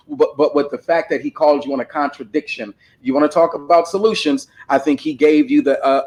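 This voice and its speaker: A man's voice: a little high, a little hiss or hum. A man hosting a casual livestream panel discussion, talking bluntly and with plenty of profanity over a desktop microphone. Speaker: panel host.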